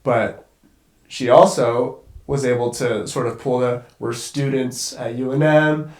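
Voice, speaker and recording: A man's voice: mid-range voice, fairly even intonation, a little slow, echoey room. A congested young man talking as a guest cinematographer in a casual conversation, rambling and swearing casually. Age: young adult.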